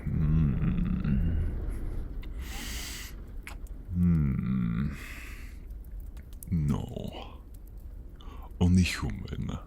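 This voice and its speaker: An imperious, blood-hungry inquisitor who is not human. The voice is extremely low and gravelly, drawing words out very slowly. He savors and condescends in fantasy role-play style, over faint background ambience. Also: a bit bright, a little hiss or hum, full character voice. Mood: savoring, intoxicated. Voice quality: very deep, gravelly, breathy. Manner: slow, savoring, commanding, condescending. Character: menacing, blood-tasting inhuman grand inquisitor, male, hungry and imperious.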